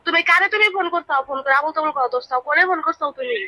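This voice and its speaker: A woman with a high, sharp voice speaks quickly in rural Bangladeshi dialect. She is indignant and challenging, repeating her questions in a heated phone exchange. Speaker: prank call recipient.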